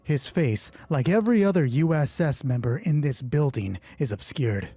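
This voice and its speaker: A man narrating a solo tabletop roleplay as host, moving between measured storytelling and casual asides. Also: medium pitch, lively intonation, dark tone, husky, no echo.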